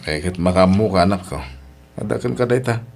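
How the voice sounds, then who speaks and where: A man's voice: sits low, lively intonation, a little fast, gravelly, darkish tone, exaggerated voice. A man with an Ilocano accent acting a boastful, needling father in an audio drama, speaking in a smug, meddling way. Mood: fatherly, curious. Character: boastful, needling father, proud of his grown child.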